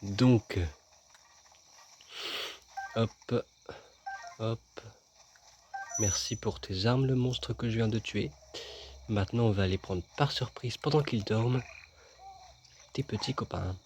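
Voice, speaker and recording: A man's voice: on the low side, slow pace, long pauses, noisy background. A man casually commenting as he plays a video game, joking and chatting with himself and his viewers. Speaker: gaming video host. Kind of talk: casual let's-play commentary.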